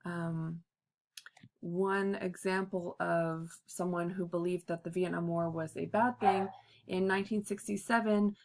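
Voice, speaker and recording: A woman giving a class history presentation, halting often with ums and uhs. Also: fairly low pitch, steady tone, vocal fry, darkish tone. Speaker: student presenter.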